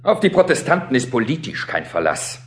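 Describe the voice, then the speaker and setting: A man's voice: mid-range voice, big pitch swings, brisk, close to an everyday voice. A man playing a blunt, prejudiced churchgoer who declaims dismissively in a German radio play.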